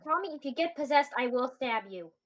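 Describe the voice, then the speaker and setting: A woman's voice: sits high, expressive, brisk. A woman playing a tabletop role-playing game, casually speaking and narrating as her character. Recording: clearly noisy voice recording with clicks.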